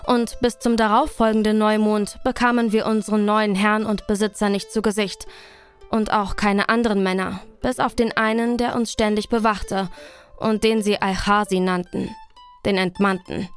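A woman playing a young captive woman in a radio play, recounting calmly. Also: mid-range voice, moderate pace, clear, resonant tone, soft background music, natural voice.

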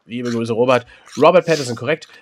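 A man's voice: medium pitch, fairly quick. A man co-hosting a podcast, chatting casually over a video call, rambling with lots of fillers. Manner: relaxed, rambling, many fillers. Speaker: podcast co-host.

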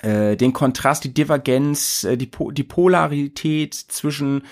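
A man's voice: a little high, a little slow. Slangy young man hosting casually. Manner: slangy, hesitant, many fillers, jokey. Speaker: podcast host. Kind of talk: casual chat.

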